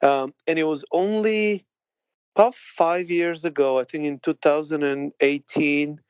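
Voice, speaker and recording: A man from Bulgaria in his late thirties, a podcast guest telling his story in a chatty, laughing way with halting restarts. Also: a little high, steady tone, clear, resonant tone, dry recording, highs cut off. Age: late 30s. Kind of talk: casual interview chat.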